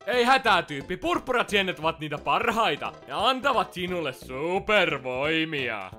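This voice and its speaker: Man playing sneering, lively cartoon teenage big brother. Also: high voice, over music. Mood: slyly goading. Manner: sarcastic, swearing slangy drawl. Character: bullying, foul-mouthed teenage older brother, sneering video-game plumber. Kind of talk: Finnish fan-dub cartoon parody.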